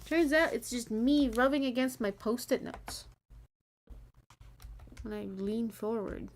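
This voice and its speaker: A woman streaming a building game, chatting casually in a rambling, self-deprecating way, her voice mumblier and deeper than usual. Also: takes it slowly, slight background noise. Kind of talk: casual gameplay stream chat.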